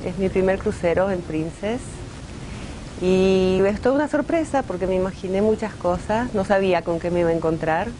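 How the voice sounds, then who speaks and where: A woman from Mendoza with an Argentine accent, a painter giving reflective interview answers and pausing often on "eh" fillers. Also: medium pitch, warm tone, slight background noise, low-quality recording. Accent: Argentine Spanish. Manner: thoughtful, pauses often with "eh" fillers.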